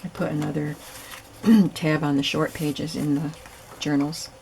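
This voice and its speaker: A woman hosting a crafting video, hoarse and raspy from lack of sleep, chatting casually as she works. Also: low-pitched, unhurried, a little hiss or hum, low-quality recording. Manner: relaxed, chatty, thinking aloud while working. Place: home craft room.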